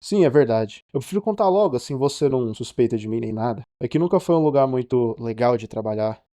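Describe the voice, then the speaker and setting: Man as a mellow, fast-talking ship's cook. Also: medium pitch, animated, no room sound, studio quality, natural voice. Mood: candid. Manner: colloquial, confiding. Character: downcast, defensive male ship's cook. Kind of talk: animated film acting.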